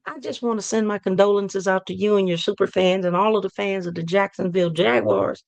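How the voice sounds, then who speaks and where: A hoarse woman hosting a live sports fan talk show, upbeat and rambling, repeating her hype lines. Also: mid-range voice, steady tone, a little fast, a little mellow, no echo. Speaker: host.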